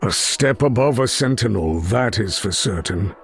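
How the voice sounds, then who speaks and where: An actor playing a proud, threatening mutant master of magnetism in a video game, speaking in a grave, imperious, formal way. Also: male voice, on the low side, expressive, moderate pace, faint music behind. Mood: grave threat. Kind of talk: video game character voice lines.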